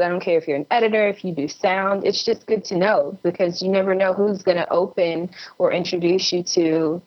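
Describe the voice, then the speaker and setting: A woman giving casual advice as an interview guest, heard over a dull lo-fi call. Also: fairly low pitch. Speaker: interview guest, actress and filmmaker. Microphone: remote call.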